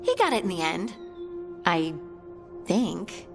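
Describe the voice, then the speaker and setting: A woman playing a warm, earnest young scout in a fantasy video game, chatting in a friendly, conversational way. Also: fairly low pitch, sing-song, slow pace, vocal fry, over music, exaggerated voice. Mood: fond, chatty. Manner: chatty, friendly, lightly amused.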